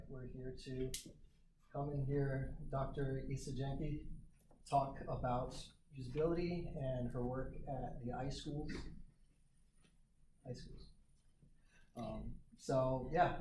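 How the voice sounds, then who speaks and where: A man's voice: medium pitch, a little mellow. A young man giving a casual introduction as host of a meetup. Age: young adult. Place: small indoor meeting room. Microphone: camera microphone in the room. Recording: echoey, noisy room recording.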